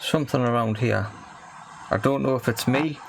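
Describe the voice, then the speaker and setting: A man's voice: medium pitch, moderate pace, creaky. A man giving casual, tentative and self-deprecating commentary as he does a hands-on repair, muttering his thoughts aloud. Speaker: amateur repair video maker. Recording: faint background noise with workbench clicks.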